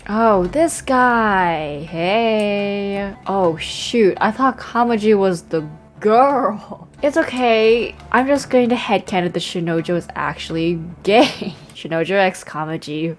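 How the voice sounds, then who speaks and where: A woman's voice: mid-range voice, a little slow, few pauses, soft background music. A young woman giving casual, joking game commentary with slangy, playfully dramatic delivery. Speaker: game streamer.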